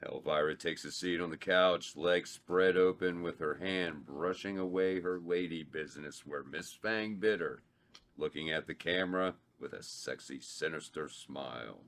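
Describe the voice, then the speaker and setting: A man reads out the stage directions at a table read. His voice is very deep, and he keeps a plain, steady delivery. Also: normal speed, slight background noise. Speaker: narrator reading stage directions. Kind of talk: reading a script aloud.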